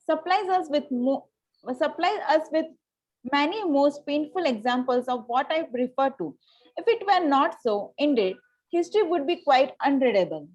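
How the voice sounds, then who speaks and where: A haughty young society lady from a Victorian comedy, played by a woman at a table read. Her voice sits very high. She speaks formally and with cool politeness, tripping now and then on a line. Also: expressive, moderate pace, darkish tone, highs cut off. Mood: prim, confiding. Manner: formal, stumbles over lines. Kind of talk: amateur reading of a Victorian comedy play.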